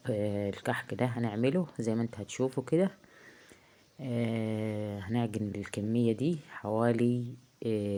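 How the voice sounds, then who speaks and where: A woman with an Egyptian accent, hoarse from a heavy cold, chatting casually through a cooking tutorial in her home kitchen, halting often with drawn-out filler sounds. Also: rumbling low, dark tone. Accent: Egyptian Arabic. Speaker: home cook vlogger. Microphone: phone.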